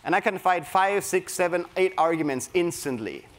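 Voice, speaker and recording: A man's voice: high voice, expressive, normal speed. A man from Germany with a German accent, speaking to a live audience and coaching them through a creativity exercise. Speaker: workshop speaker. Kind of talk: interactive keynote talk.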